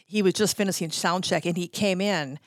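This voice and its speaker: A woman's voice: fairly low pitch, brisk, no room sound, clean studio sound. A middle-aged American woman chatting casually as a podcast guest, telling stories breezily and acting out quotes. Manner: breezy storyteller who acts out quotes. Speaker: podcast guest, former radio promotions worker. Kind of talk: casual anecdotal chat.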